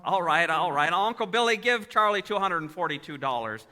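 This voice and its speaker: A man acts out an earnest young small-town loan man in a vintage radio drama. His voice is very bright and high, stammering and pleading in a folksy way. It carries through a reverberant hall. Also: lively intonation, fairly quick. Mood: relieved, brisk. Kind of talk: 1940s radio acting on stage. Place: theatre stage. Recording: echoey hall, live stage taping.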